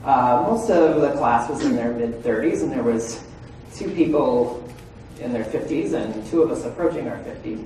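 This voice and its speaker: A man's voice: medium pitch, normal speed, dark tone, a little hiss or hum. A man in his forties presenting to a room of legal professionals, often checking in with "right?" and "okay?". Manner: frequent "right?" and "okay?" check-ins with listeners. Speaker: presenter at a legal training seminar. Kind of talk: conversational lecture to an audience. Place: large room with an audience. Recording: echoey room, far from the mic.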